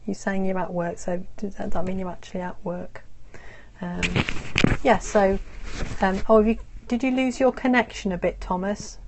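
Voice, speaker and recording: Woman, english teacher, live stream lesson, patient, slow explaining, lots of ums. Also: medium pitch, steady tone, darkish tone, slight background noise. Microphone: phone or computer on a live stream.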